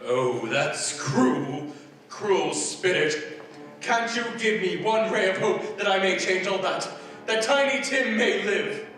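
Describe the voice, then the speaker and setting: An actor playing an old miser who begs a ghost and then rejoices, in a 1940s-style radio drama. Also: male voice, high voice, lively intonation, slow pace, fairly bright tone, soft background music, heavily acted. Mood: pleading. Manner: pleading and theatrical. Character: old male miser, remorseful then overjoyed. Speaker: Scrooge. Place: theater stage. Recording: echoey stage from far off.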